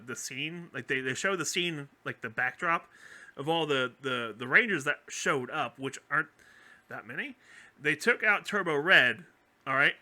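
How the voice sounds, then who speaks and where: Animated guy podcast host, really bright sharp voice, kinda high, rambles thru his explanations. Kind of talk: casual chat, explaining fan theories about a TV franchise.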